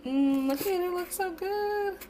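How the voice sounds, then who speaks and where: A young woman in her twenties chatting casually on a home vlog, her voice slightly raspy from dust, with a chatty, upbeat manner. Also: very high voice, moderate pace, mellow, slight background noise. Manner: chatty, upbeat, filler-heavy. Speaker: vlogger. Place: home, indoors. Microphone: camera propped up nearby.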